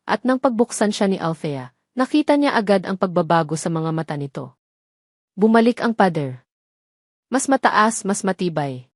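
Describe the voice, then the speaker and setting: A woman narrating a scripted romantic drama as an audio storyteller, with deliberate, emotive delivery. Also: medium pitch, darkish tone, no echo, clean studio sound.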